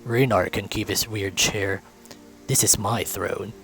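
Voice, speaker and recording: A man's voice: on the low side, fairly even intonation, takes it slowly, gravelly, a bit bright, faint music behind, full character voice. A man voicing an easygoing, earnest young furry guard captain in a visual novel. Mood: playful.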